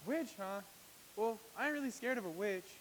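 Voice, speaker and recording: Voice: mid-range voice, expressive, moderate pace, long reverb tail, slight background noise, close to an everyday voice, poor audio. An actor playing an eager, folksy straw scarecrow in a musical with songs. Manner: folksy drawl, playful. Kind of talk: musical stage acting with singing.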